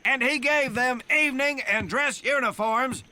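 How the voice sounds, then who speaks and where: Pompous marine drill sergeant for a war game, super sharp loud voice, slow preachy speeches, barks orders. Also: male voice, very high-pitched, exaggerated voice. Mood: mock solemn. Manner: declamatory mock-biblical oratory, barking orders. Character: bellowing, pompous Marine drill instructor, sardonic and domineering.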